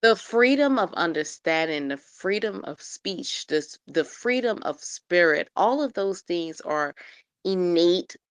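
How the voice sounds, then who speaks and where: A woman's voice: fairly low pitch, animated, slow, clear, resonant tone, no room sound. A woman speaking up in a live group discussion, assertive and insisting on finishing her point. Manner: assertive, insists on not being interrupted. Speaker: participant.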